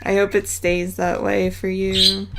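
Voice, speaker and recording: A woman with a Southern US accent chatting casually with her stream chat while drawing, relaxed and laughing often. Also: mid-range voice, a little slow, vocal fry, very noisy. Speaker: artist live streaming, reading chat.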